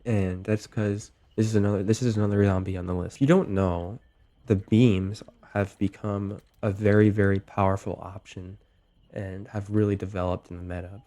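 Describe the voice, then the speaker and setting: A young man getting over a cold, talking through game tips in a relaxed way with lots of ums and likes. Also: lowish voice, normal speed. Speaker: gaming video host. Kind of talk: casual gameplay commentary.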